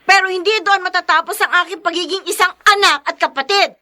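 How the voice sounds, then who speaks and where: A woman playing a feisty, dutiful breadwinner wife in a radio drama, with an emphatic, expressive delivery. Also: very high-pitched, fast talker. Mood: indignant, defiant.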